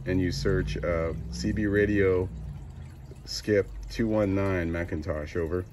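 Low-voiced American CB operator, heavy noise. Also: male voice, fairly even intonation, takes it slowly. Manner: clipped, repeats call signs. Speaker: CB radio operator. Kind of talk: casual radio call-outs.

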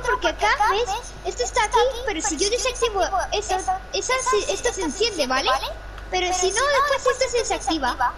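A female gamer chatting casually while directing a partner through a game step by step. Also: very high voice. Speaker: gamer playing co-op. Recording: clearly noisy home gaming recording.

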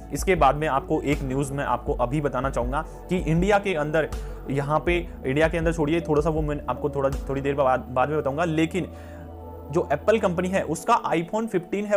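An Indian man with a slightly high voice presents financial news as an informal explainer. He speaks straight to the listener and often repeats himself to make a point. Music plays behind his commentary. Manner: explanatory, repetitive, addressing viewers directly. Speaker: host of a stock market news channel.

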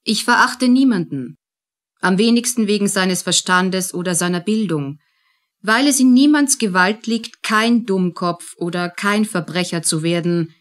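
Narrator: woman, mid-range voice, composed and measured scripted reading. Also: mid-range voice.

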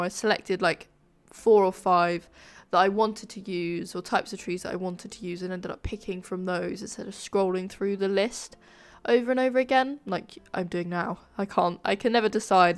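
A woman giving casual, informal commentary over a game building video, sounding nasal and a bit flat from a sore throat. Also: fairly low pitch. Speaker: gaming video creator, narrator.

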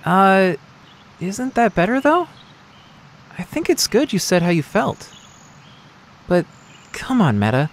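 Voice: mid-range voice, very animated, slow pace, pauses often, slight background noise. A performer voicing a cheerful, easygoing young creature, earnest and childlike, in a casual cartoon comic dub. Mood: concerned.

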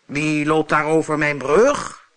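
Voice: medium pitch, takes it slowly, fairly bright tone. A reader voicing a greedy, one-eyed green monster under a bridge who growls and roars orders in a storybook tale. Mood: threatening. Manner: growling, roaring, bossy.

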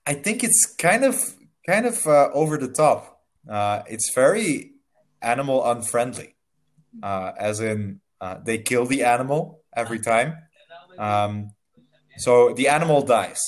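A man's voice: medium pitch, lively intonation. Young man tutoring on a headset. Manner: friendly, laughs often, slow careful phrasing. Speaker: online English tutor. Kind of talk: casual video-call lesson. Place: small room indoors.

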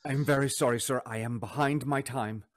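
An actor in a radio play playing a meek, apologetic Victorian clerk, timid and deferential. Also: male voice, mid-range voice, fairly even intonation, moderate pace, dry recording, studio quality, lightly acted. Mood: apologetic, nervous.

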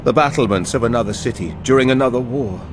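A man's voice: medium pitch, fast, noisy background, close to an everyday voice. An actor playing a confused, haunted male warrior in an audio drama, speaking in urgent, searching phrases. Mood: troubled.

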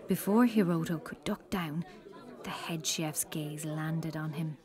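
An actress as the narrator, reading scripted story narration in a children's audio drama. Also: female voice, sits low, measured pace. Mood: storytelling. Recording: faint crowd behind the narration.

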